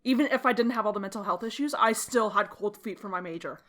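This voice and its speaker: Casting: woman, mid twenties, from Canada. Medium-pitched voice, relaxed conversational podcast co-host who swears freely. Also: fast. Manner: informal, sweary. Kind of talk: casual chat.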